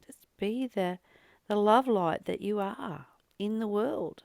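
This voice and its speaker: A woman giving a contemplative spiritual reading with informal personal commentary, pausing and repeating phrases as she goes. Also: medium pitch, gravelly, darkish tone, dry recording, studio quality. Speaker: host of a spiritual reading and study series.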